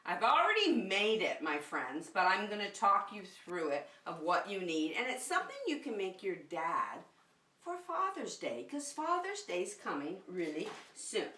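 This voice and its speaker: Slow-talking woman in an echoey room. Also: medium pitch, big pitch swings, bright, forceful tone. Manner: simple, encouraging address to young children. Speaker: children's teacher hosting story time. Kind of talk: children's circle time and read-aloud. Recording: roomy, echoey, distant microphone.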